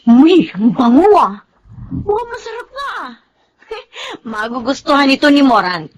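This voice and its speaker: An actress playing a cheeky, blunt young daughter in a Tagalog radio drama. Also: female voice, high voice, sing-song, a little slow, lo-fi sound. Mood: dismissive, blunt.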